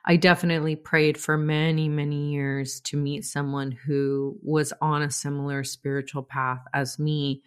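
Low-voiced woman hosting a podcast. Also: level tone, normal speed, few pauses. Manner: affirming, breathy exclamations, laughs easily. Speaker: podcast host. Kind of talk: warm conversational interview.

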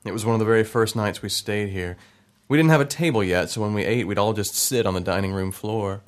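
A man voicing a grown son, thoughtful and wistful, recalling his childhood in a drama. His storytelling is unhurried and conversational. It sounds like a plain, everyday male voice. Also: fairly low pitch, close to an everyday voice. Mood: reflective. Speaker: narrator. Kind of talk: reflective dramatic narration.

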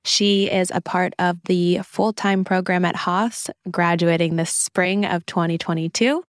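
A woman's voice: lowish voice, steady tone, measured pace, no room sound. A woman hosting a podcast, giving upbeat, scripted introductions and questions from a virtual studio. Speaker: podcast host.

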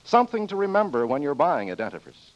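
High-pitched, animated 1940s radio announcer man. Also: fast talker. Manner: polished, formal salesmanship. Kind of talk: 1940s scripted radio announcing and sponsor reading. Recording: dull 1940s radio broadcast recording. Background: music.

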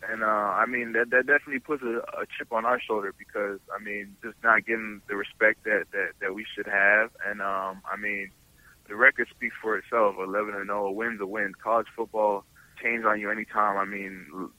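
A man, a college football player giving casual, halting interview answers, often repeating words and restarting. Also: lowish voice, little pitch movement, pushed and bright, poor audio. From Toronto, Canada. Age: early 20s.

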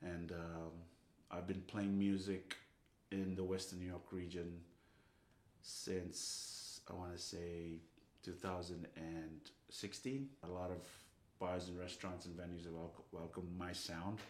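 A singer-songwriter from Fiji, a man, talking softly and casually between songs in a brewery barrel house. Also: level tone, unhurried, a little hiss or hum. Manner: soft-spoken, low-key. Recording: roomy brewery hall echo.

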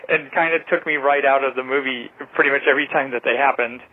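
A man's voice: medium pitch, steady tone, quick pace, slight background noise. A man on a tinny phone line giving a casual movie review as a caller, rambling wryly with tentative asides. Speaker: caller leaving listener feedback.

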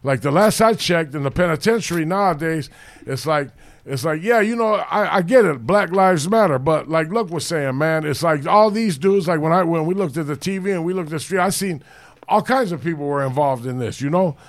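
A middle-aged man from Los Angeles with a deep, loud voice, telling blunt street stories full of swearing in a casual chat. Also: animated, fairly quick. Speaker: podcast co-host.

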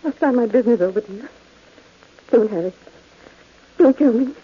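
A woman's voice: a little high, lively intonation, moderate pace, slightly rough. An actress in an American 1940s radio drama playing a frightened wealthy wife pleading with her husband. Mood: desperate pleading. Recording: muffled old radio recording.

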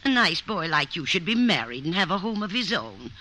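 A woman's voice: fairly low pitch, big pitch swings, fairly quick, bright, forceful tone, full character voice. A woman playing a doting, cheerful elderly mother who fusses in a 1950s radio comedy. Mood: fussing. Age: older. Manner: fussing, motherly. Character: doting, cheerful old mother of a grown son. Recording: slightly noisy old radio recording.

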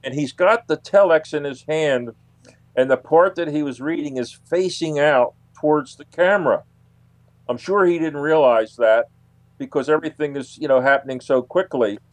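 A man explaining as an interview guest, unscripted and halting, searching for words and digressing as he goes. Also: a little high.

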